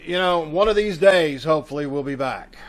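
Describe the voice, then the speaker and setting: A man with a voice a little higher than most hosts for a community theater troupe. He speaks informally and addresses the audience directly, in a casual welcome over a video call. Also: expressive, a little fast, slight background noise.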